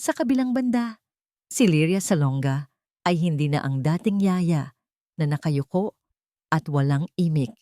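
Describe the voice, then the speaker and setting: Female Filipino audiobook narrator for scripted drama, lower register, close dry sound. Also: animated, unhurried, pauses often, warm tone, no room sound.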